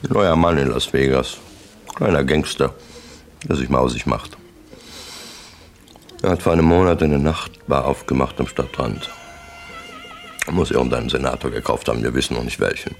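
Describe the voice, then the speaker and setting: An actor playing a coldly pompous crime syndicate boss in a radio drama, deliberate, terse and menacing, as if lecturing. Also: male voice, rumbling low, vocal fry, soft background music. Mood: lecturing, disdainful.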